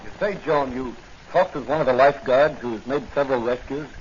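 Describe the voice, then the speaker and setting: An actor playing a brisk, self-assured young police hero in an old radio serial, earnest and shrewd. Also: male voice, mid-range voice, lightly acted. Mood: inquisitive. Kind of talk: 1940s radio serial acting. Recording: dull, noisy old radio recording.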